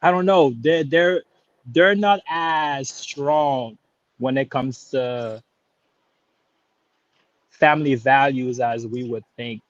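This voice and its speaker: A man in his thirties, born in Haiti, speaks casually on a call, pausing and restarting often and loosening into slang as he goes. His voice sits at an ordinary pitch. The sound is dry and slightly muffled, lo-fi. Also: no echo. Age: 30s. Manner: hesitant, many restarts and fillers, laid-back slang. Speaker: caller. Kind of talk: casual live-stream call-in chat.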